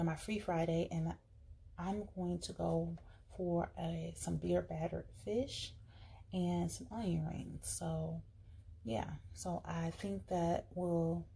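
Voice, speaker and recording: A woman talks through her plans at an indoor table in a casual vlog, chatty and full of fillers and restarts. Her voice is very husky with a gravelly fry, mellow and dark. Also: on the low side. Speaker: vlogger. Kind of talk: casual vlog, planning meals while writing.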